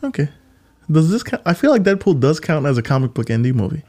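A man co-hosting a casual movie podcast, animated and playful, often jumping in over his partner. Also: on the high side, quick pace. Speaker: podcast co-host.

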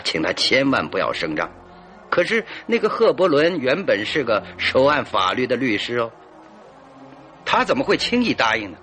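A man's voice: lowish voice, bright, forceful tone. An actor playing a confident, self-satisfied detective in a radio drama, theatrical and emphatic as he expounds his theory.

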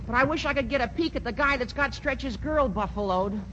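A man's voice: very high-pitched, fairly quick, noisy background. An eager, nosy teen boy. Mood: earnest. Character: eager, nosy teenage schoolboy. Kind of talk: 1940s radio sitcom acting. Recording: lo-fi vintage radio broadcast.

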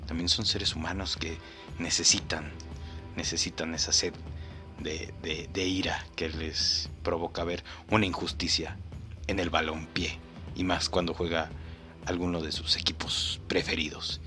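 A man's voice: deep voice, flat delivery, a little slow, bright, forceful tone, soft background music. A man hosting a podcast, playfully and mock-solemnly commenting on clips and speaking directly to the listener. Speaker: podcast host.

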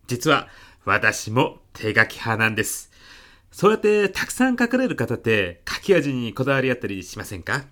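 A man plays a middle-aged salesman in a radio comedy. He is earnest and easily flustered, with a bright, forceful voice at a medium pitch. His intonation moves widely. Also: big pitch swings, normal speed. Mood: polite, earnest. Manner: polite, hesitant, protesting. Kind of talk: comedic radio drama acting.

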